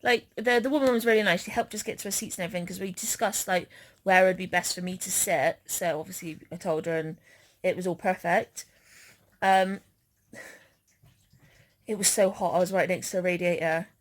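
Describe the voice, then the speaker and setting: A woman's voice: on the low side, fairly even intonation, fairly quick. A woman vlogger chatting casually as she recounts an outing.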